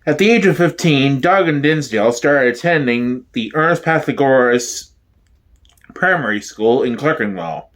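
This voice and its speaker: A man giving a dramatic, deadpan reading as the narrator of a mock documentary. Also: mid-range voice, normal speed. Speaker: solo performer, narrator.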